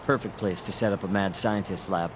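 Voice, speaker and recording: A man's voice: low voice, steady tone, a little fast, background noise, close to an everyday voice. A man playing a young, wry, streetwise sidekick in a video game cutscene, talking casually and a little sardonically. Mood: focused. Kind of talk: English video game cutscene dub acting. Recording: music playing behind the voice.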